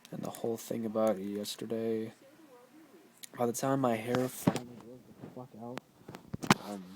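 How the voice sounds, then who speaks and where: A man's voice: lowish voice, dark tone, low-quality recording. A man in his twenties, tired, rambling and swearing constantly in a casual vlog. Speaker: vlogger.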